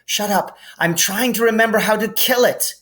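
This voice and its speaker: A man voicing a clever, anxious, bossy young girl in a storybook, with a shrill, commanding manner. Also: moderate pace, a bit bright, close to an everyday voice. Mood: bossy, alarmed.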